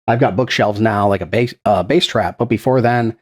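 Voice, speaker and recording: Chatty man with a low voice. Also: moderate pace. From Texas. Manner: relaxed, chatty, explains with frequent fillers. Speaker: podcast producer and game master. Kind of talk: casual interview chat. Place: untreated home room with bookshelves. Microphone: dynamic podcast microphone.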